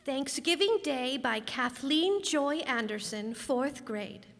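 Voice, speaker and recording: A woman's voice: high voice, animated, takes it slowly, full character voice. A woman playing a prim little schoolgirl. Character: young girl, fourth grader, prim and theatrical. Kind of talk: amateur old-time radio play performance. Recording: long hall reverb.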